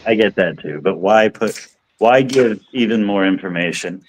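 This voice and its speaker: Laid-back joking man, low voice. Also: normal speed, a little hiss or hum, poor audio. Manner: joking, provocative, laughs often. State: high. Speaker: stream host. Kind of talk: casual livestream chat.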